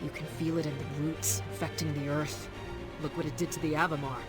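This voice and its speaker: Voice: medium pitch, flat delivery, a little fast, close to an everyday voice. An actor playing a friendly, watchful scout fighter in a video game, with a casual, wry manner. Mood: wry, concerned. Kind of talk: video game character acting. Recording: game music behind.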